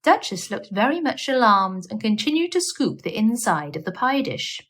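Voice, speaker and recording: A woman's voice: mid-range voice, very animated, normal speed, fairly bright tone. A woman storyteller reading a children's bedtime story aloud.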